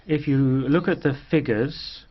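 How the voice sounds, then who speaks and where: A man's voice: medium pitch, measured pace. A man speaking in a parliamentary debate, argumentative and pressing his point, with frequent hesitations. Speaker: member of parliament. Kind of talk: debate speech. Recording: muffled parliament broadcast.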